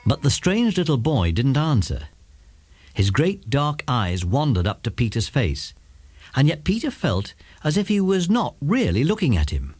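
A man with a British accent narrating a children's audiobook in an expressive, dramatic storytelling style. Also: medium pitch, moderate pace, slightly rough, dry recording. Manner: animated, dramatic.

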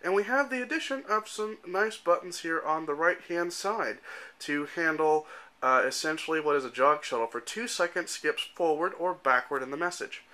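A man in his thirties giving an informal, matter-of-fact demonstration as a software developer, explaining things step by step into a handheld camera. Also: a little high, moderate pace. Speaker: software developer presenting a demo. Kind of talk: informal product demonstration narration. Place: indoor room at home. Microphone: handheld camera microphone.

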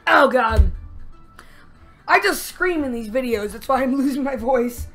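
A young woman giving excited gameplay commentary with a strained, worn voice, yelping and laughing at the action. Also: high voice, unhurried. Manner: yelps and shrieks at the action, laughs a lot. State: strained, losing her voice. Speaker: let's play gamer. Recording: faint game music behind.

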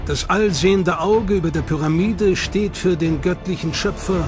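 A man narrating a documentary in a measured, dramatic manner. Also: sits high. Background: music.

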